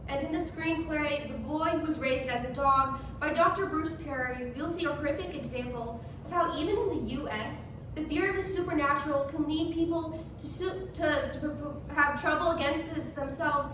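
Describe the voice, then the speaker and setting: Young woman narrating, high voice, big echo. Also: moderate pace, few pauses. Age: young adult. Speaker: readers theatre performer. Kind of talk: scripted stage narration. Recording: echoey hall from far off, noisy.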